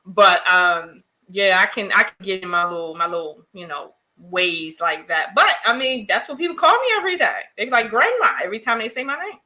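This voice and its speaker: A woman's voice: mid-range voice, expressive, a little fast, hardly any pauses, sharp tone. A middle-aged woman, a children's book author, chatting as a guest on a podcast and laughing often as she tells her story. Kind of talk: casual podcast chat.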